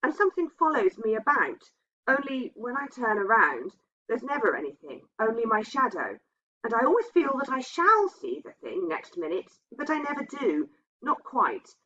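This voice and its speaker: A woman's voice: a little high, big pitch swings, normal speed, sharp tone, voice pushed up, exaggerated voice. A woman voicing a troubled man in a ghost story, earnest and hesitant as he confides his dread. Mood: haunted, anxious. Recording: dull, muffled lo-fi sound.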